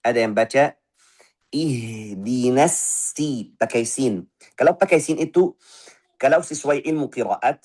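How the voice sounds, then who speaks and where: A man giving a Quran recitation lesson, firm and exacting. He voices wrong and right pronunciations side by side, drawing out single syllables slowly for students to copy. His voice sits at an ordinary middle pitch for a man. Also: darkish tone, slightly rough. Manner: corrects mistakes by voicing wrong and right pronunciations in turn; firm, repeats short syllables slowly for drilling. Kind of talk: tajwid lesson with spoken recitation examples.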